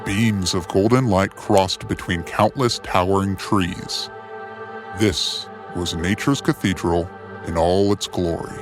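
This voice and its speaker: A man's voice: low voice, fairly even intonation, slow pace. A man narrating a scripted adventure story in a dramatic storytelling style. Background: soft music.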